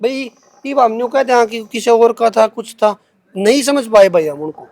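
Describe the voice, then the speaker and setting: A man's voice: extremely high pitch, normal speed, gravelly, darkish tone, dry recording. A young man with a Haryanvi accent defending himself in an unscripted interview, speaking emphatically and colloquially with repeated phrases. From Haryana, India. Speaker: interviewee answering accusations against him.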